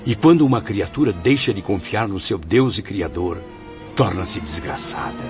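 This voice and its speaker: A man's voice: fairly low pitch, lively intonation, normal speed, close to an everyday voice. Guy playing a tortured proud brazilian man, declaiming, swings all over, muffled with faint music. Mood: despairing. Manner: declamatory, rhetorical. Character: tormented male suicide, bitter, proud, anguished, self-pitying. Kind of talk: melodramatic radio drama acting.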